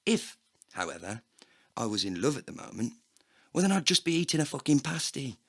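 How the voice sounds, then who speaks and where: An actor playing a bitter, heartbroken, foul-mouthed man, narrating a scripted comedy audio play in a sardonic, deadpan way. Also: medium pitch, fairly quick, slightly rough, no echo, clean studio sound, heavily acted. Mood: ranting, despondent.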